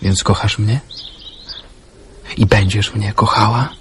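A man playing a calm young lover. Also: on the low side, slow pace, a little hiss or hum. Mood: tender. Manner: calm, earnest, gently teasing. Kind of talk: radio drama acting.

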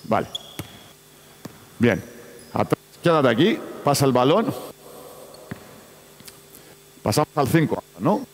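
A man's voice: mid-range voice, very animated, takes it slowly, long pauses, slight background noise. A man who is not a native Spanish speaker runs a basketball coaching clinic, giving choppy instructions and calling out to players on court. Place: large indoor basketball arena. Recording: echoey sports hall.

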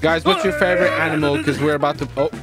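A man's voice: fairly high pitch, steady tone, fast. A man chatting casually and crudely as he plays a roleplay game, teasing the others. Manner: crude, mocking banter. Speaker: gamer and streamer playing roleplay. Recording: loud music mixed over voice. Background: loud music.

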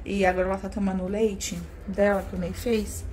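A woman's voice: medium pitch, little pitch movement, brisk, darkish tone, soft background music. Chatty young Brazilian woman vlogging at home. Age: young adult. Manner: chatty, addressing viewers with pet names. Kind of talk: casual chat to camera. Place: small rooms at home, indoors. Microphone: phone at arm's length. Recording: noisy handheld home recording.

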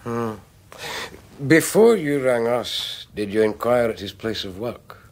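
An actor playing a quietly probing police inspector in a radio drama, polite and understated. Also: male voice, medium pitch, lively intonation, takes it slowly. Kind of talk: 1980s radio drama acting.

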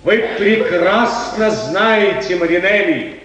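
An actor playing an impulsive, anxious and haughty prince in a theatrical 1950s radio play style. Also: male voice, high-pitched, expressive, slow pace, a bit bright, a little hiss or hum, exaggerated voice. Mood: indignant, scornful. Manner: theatrical, imperious. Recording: echoey 1950s radio recording, distant mic.

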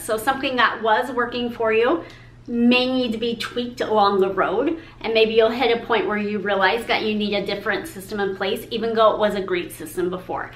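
A woman's voice: fairly high pitch, fairly bright tone, roomy sound. Upbeat woman giving business tips. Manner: upbeat, encouraging, talks with her hands. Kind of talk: speaking to camera, sharing business tips. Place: room with a pink painted brick wall.

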